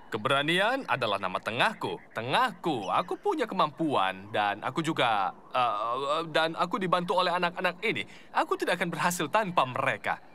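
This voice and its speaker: A vain cartoon hero, bragging in a forceful, highish man's voice. His pompous delivery swoops showily up and down. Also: sing-song, normal speed, slight background noise. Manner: pompous, showy. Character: vain, bragging self-styled hero man. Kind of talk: animated comedy cartoon dubbing. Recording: music behind the voice.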